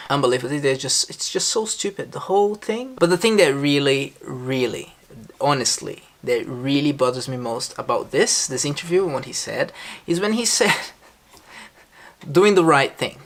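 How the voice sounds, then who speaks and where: A man talks through a reaction video in casual vlog commentary. His pitch swings widely as he drips sarcasm and disbelief. He sounds exasperated and keeps firing back incredulous questions. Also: animated, moderate pace, a bit bright. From Brazil. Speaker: reaction vlogger.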